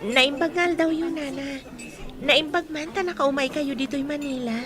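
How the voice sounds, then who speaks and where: A high-voiced, puzzled younger woman played by an actress in an Ilocano radio drama. She sounds deferential, flustered and questioning, with a murmur of voices behind her. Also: steady tone, moderate pace, a crowd in the background, natural voice. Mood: apologetic, curious.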